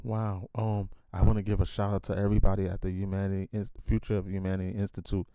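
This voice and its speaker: A man's voice: low voice, little pitch movement, fast, warm tone, smooth, no echo. A man hosting a podcast, giving a hyped introduction and then asking questions with many fillers and restarts. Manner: emphatic hype intro. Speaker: podcast host. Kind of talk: casual interview chat.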